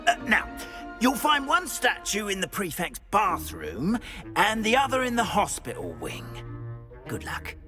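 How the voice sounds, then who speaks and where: Eccentric, nervy older British caretaker for a fantasy game; hugely animated pitch, flowery hesitant speech, music behind. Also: male voice, a little slow, full character voice. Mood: coaxing, nostalgic. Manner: flustered, hesitant, florid old-fashioned phrasing. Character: jittery, eccentric older male school caretaker, theatrical and fearful. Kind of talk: video game character acting.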